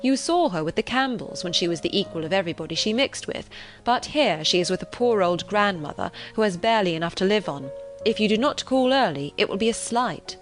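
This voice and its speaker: Woman reading as a hearty, brisk father, music behind. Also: medium pitch, expressive, natural voice. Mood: urging. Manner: hearty, decisive, urging. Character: brisk, hearty middle-aged father, an English gentleman.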